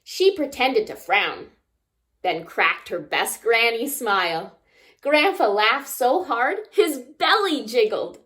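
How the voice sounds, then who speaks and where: Slow, animated woman reading to children. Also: a little high. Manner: expressive, asks child listeners simple questions. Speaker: children's story time reader. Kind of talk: read-aloud children's picture book narration.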